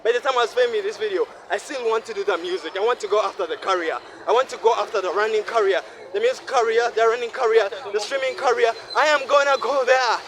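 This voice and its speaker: A man in his twenties from Uganda, talking to himself in a repetitive, preachy monologue while walking a city street at night, sweaty from walking fast. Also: sits high, fairly bright tone. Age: 20s. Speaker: vlogger. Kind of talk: rambling night-walk monologue.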